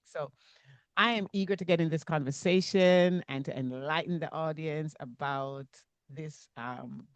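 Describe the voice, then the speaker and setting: Faith podcast host, gushing and repetitive, mid-pitched, dull dry call audio. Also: gravelly, no room sound. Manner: effusive, repeats phrases for emphasis.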